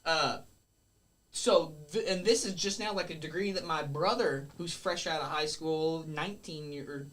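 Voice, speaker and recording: A man's voice: fairly high pitch, moderate pace, fairly bright tone, rough recording. A man, a wrestler guesting on a podcast, talking in a rambling, earnest way and correcting himself as he goes. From Kentucky. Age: 20s. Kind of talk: casual podcast interview.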